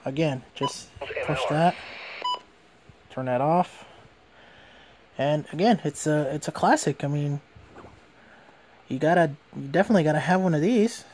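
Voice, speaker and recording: A man with a fairly high voice presents a hands-on gadget review. He talks informally, like an everyday hobbyist video, and tends to restate his points as he goes. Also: unhurried, pauses often, a little mellow, slight background noise. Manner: informal, repeats and rephrases himself. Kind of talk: casual hands-on product review.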